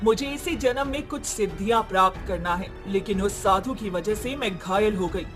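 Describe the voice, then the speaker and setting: A woman voicing a scheming sorceress with a persuasive, reassuring manner in an animated story. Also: medium pitch, normal speed, music in the background, natural voice. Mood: demanding. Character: scheming wounded sorceress seeking another woman's body. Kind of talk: Hindi animated moral story acting.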